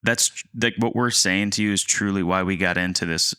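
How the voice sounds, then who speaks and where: A man around thirty co-hosting a podcast, telling a personal story in a casual, halting, rambling way. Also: low voice, steady tone, sharp tone, clear, resonant tone, no room sound, clean studio sound. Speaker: podcast co-host, land agent.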